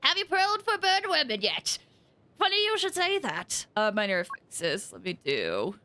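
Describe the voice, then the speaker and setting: An excitable woman livestreams a game in a high, very bright voice. Her delivery swings widely in pitch as she thinks aloud in casual chat, breaking into exclamations as she goes. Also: measured pace. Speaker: game streamer.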